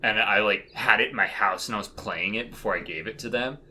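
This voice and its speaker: A man's voice: on the low side, brisk, crowd noise. A man co-hosting a podcast, telling anecdotes in casual, amused, jokey conversation. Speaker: podcast co-host.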